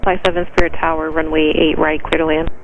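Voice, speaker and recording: A man working as an air traffic tower controller, giving clipped, procedural clearances and instructions over aviation radio. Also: fairly high pitch, little pitch movement, fast talker, narrow, tinny audio. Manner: clipped, procedural, matter-of-fact. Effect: aviation radio transmission.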